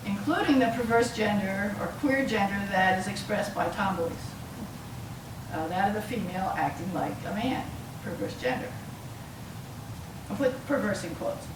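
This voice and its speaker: A woman giving an explanatory academic lecture to an audience, with a halting delivery. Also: medium pitch. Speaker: university lecturer. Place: lecture room, mic some way off. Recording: roomy, distant mic, clearly noisy.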